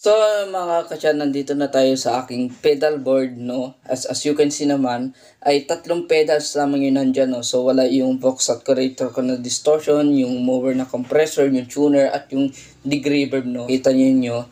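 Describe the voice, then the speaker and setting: A man's voice: mid-range voice, fairly even intonation, moderate pace, warm tone. A man with a mid-range voice talks in a casual vlog style. He explains things conversationally, like a gear vlogger, checking in with short tag questions as he goes. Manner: conversational, frequent "no?" tags. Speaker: guitar effects vlogger. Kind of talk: casual vlog demo, Tagalog mixed with English. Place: indoor home studio by a window.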